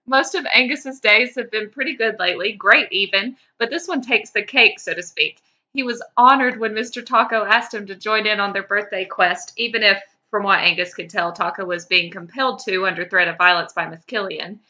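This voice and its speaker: A woman's voice: medium pitch, moderate pace, sharp tone. A woman co-hosting a podcast, reading fan fiction aloud expressively, on slightly dull audio. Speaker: podcast co-host.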